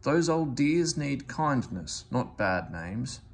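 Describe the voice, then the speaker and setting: A man's voice: mid-range voice, sing-song, takes it slowly, gravelly, breathy edge, voice pushed up, heavily acted. A man voicing a kindly, protective old male engine who protests. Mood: protesting. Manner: shocked, protective.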